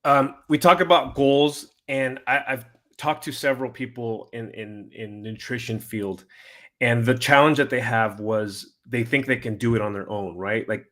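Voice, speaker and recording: A man hosting a casual interview, haltingly repeating and rephrasing his questions as he goes. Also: mid-range voice, fairly bright tone. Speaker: interviewer, host.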